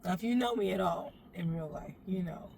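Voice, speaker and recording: Woman, deep gravelly voice, sassy and playful, direct to camera, parked car. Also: fairly quick, darkish tone, a little hiss or hum. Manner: sassy, playful, talks straight to the viewer and calls them baby. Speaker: makeup vlogger. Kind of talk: chatty makeup tutorial. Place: inside a parked SUV.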